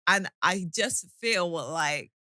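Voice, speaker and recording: A woman's voice: fairly low pitch, slow, pushed and bright, dry recording, studio quality. A young woman from the UK, co-hosting a podcast and earnestly explaining her points in casual, slangy chat. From United Kingdom. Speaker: podcast co-host.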